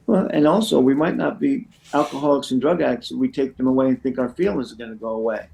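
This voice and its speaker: A man's voice: mid-range voice, fast talker, gravelly, dark tone, poor audio. A middle-aged man chatting as an interview guest, a recovery counselor who rambles and often says "you know". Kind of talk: conversational interview, sharing personal anecdotes.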